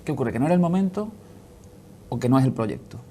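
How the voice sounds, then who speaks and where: A man in his thirties, a panel analyst on a TV show, pressing a guest with rapid questions. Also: mid-range voice, expressive, warm tone, a little hiss or hum. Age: 30s. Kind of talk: pointed interview questioning.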